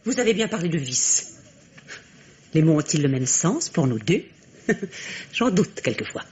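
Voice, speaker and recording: An actress playing a bitter, unhappy middle-aged wife in a French radio drama, halting and repeating words with volatile emotion. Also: female voice, low voice, very animated, a little mellow, muffled recording, slight background noise. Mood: defiant, guarded. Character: bitter, grey-haired, unhappy wife, trapped and theatrical.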